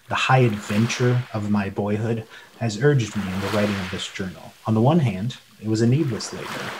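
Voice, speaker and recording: An earnest male storyteller acted by a man narrates a radio play as a memoir. His voice is fairly low and literary in delivery. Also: darkish tone, a little hiss or hum, close to an everyday voice, rough recording. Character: grown man recalling his boyhood adventure. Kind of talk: scripted dramatic narration.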